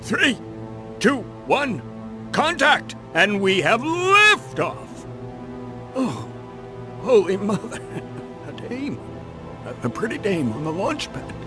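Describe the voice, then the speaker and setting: Game role: boozy ex-military rocket man, high-pitched, very animated, slurred and leering, music behind him. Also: slow pace, long pauses, heavily acted. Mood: startled, delighted. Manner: slurred, theatrical, exclamatory. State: drunk. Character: drunken, boisterous ex-military space pilot, leering and rambling. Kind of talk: video game voice acting.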